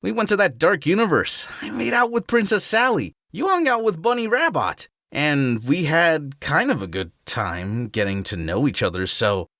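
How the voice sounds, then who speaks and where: Cartoon hedgehog hero, male actor, youngish, breezy and slangy, higher register, hugely animated swings. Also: moderate pace, few pauses, no room sound, studio quality, exaggerated voice. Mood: breezy, curious. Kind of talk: fan-made audio drama acting.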